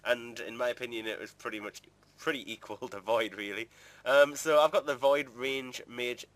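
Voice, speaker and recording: A man with a mid-pitched voice records casual gameplay commentary. He talks informally and chattily, rambling from one point to the next as a video creator would. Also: fairly even intonation, normal speed, a bit bright, dry recording.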